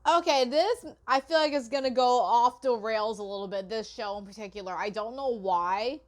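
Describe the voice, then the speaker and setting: A woman's voice: a little high, normal speed. A woman giving casual reaction commentary as a video host, informal and full of exclamations and apologetic asides.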